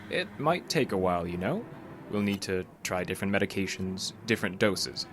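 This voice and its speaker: An actor playing a calm, probing male psychologist in an audio drama, with a measured, patient, clinical way of questioning. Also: deep voice, slight background noise. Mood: encouraging. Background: music.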